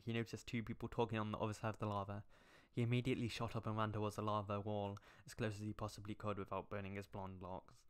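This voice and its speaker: A man with a fairly low voice reads aloud on a stream. His delivery is sarcastic and mocking, and he stumbles over words as he goes. He sounds close to the microphone. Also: clean tone. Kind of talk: mocking fan fiction read-aloud with asides.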